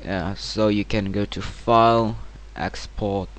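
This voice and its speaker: A man narrating a casual software tutorial in hesitant, informal, non-native-sounding English. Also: lowish voice, takes it slowly. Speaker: tutorial presenter. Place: home computer screen-recording voice-over. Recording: clear steady background noise.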